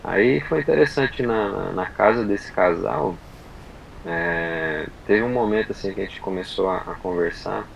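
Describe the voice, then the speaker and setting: A man from Brazil telling a personal story on a podcast, in unhurried, halting casual chat full of fillers and restarts. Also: sits low, creaky, highs cut off, a little hiss or hum. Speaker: podcast guest.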